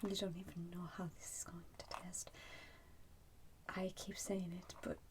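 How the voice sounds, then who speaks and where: A woman doing an ASMR roleplay as a shopkeeper, whispering breathily and gently reassuring the listener as she narrates each step. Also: lowish voice, little pitch movement, moderate pace, background noise. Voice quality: breathy, mostly unvoiced whisper. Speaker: ASMR roleplay performer playing a soup shop keeper.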